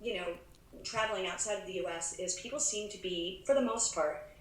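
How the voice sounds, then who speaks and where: A woman's voice: medium pitch, a little fast. Chatty American woman in an echoey room. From United States. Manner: chatty, laughs often, restarts her sentences. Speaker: travel advisor, podcast guest. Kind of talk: casual podcast chat. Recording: echoey room with faint background noise.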